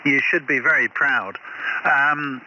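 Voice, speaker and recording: A man's voice: mid-range voice, fairly even intonation, takes it slowly, sharp tone. An older man from England chatting on amateur radio, friendly and encouraging, speaking hesitantly with lots of restarts. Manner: hesitant, with many restarts and fillers. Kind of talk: casual radio chat. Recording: muffled radio audio with faint static.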